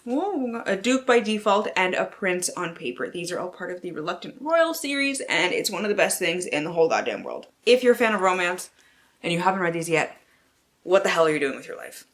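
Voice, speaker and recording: A woman's voice: on the low side, big pitch swings, brisk. A woman hosting a book vlog, talking casually with wry, theatrical delivery and plenty of swearing. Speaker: book vlogger, channel host. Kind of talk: casual chat to camera with playful dramatic book-blurb readings.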